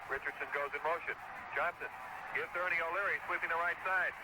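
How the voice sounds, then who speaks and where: A man's voice: fairly high pitch, fairly even intonation, fast. An American man calling a live football game on radio in clipped, present-tense play-by-play phrases. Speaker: radio play-by-play sports announcer. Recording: very noisy old 1970s radio broadcast.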